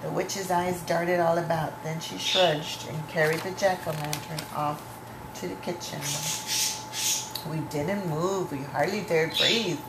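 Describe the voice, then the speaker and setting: A woman reading a children's story aloud with deliberate, dramatic phrasing. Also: sits low, fairly even intonation. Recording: echoey room with clear background noise.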